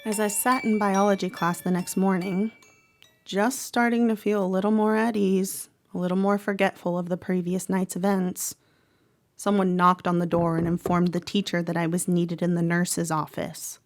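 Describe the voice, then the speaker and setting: A woman playing a troubled, protective teenage girl who narrates a horror audio drama in a measured, dramatic way. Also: fairly low pitch, level tone, natural voice. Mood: uneasy. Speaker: actor narrating. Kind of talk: first-person horror audio drama monologue.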